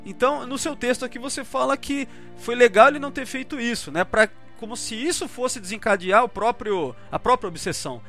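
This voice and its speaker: A man's voice: very high-pitched, fairly quick, hardly any pauses, a bit bright, faint music behind. A Brazilian man hosting a podcast in casual chat, informal and questioning, often repeating himself. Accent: Brazilian Portuguese. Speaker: podcast host.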